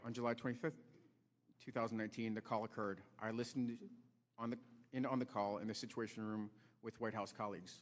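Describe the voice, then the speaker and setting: A man reading a prepared statement as a witness, in a formal, deliberate way. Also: lowish voice, fairly even intonation, background noise. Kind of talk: prepared statement read aloud. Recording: reverberant hearing room.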